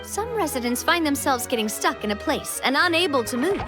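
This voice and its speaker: A woman voicing a confident, gracious young female leader in a video game, poised, earnest and expressive. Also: high-pitched, fairly quick, over music. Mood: explanatory. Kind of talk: video game cutscene acting.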